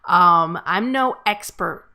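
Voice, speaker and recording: A woman's voice: lowish voice, expressive, slow pace, vocal fry, bright, forceful tone. A woman hosting and giving casual script feedback in an encouraging but frank way. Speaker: host and amateur screenwriter giving feedback.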